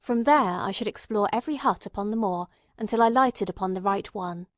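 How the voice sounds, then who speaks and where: A woman reading classic detective fiction as an audiobook narrator, in a measured, formal way. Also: mid-range voice, dry recording, studio quality.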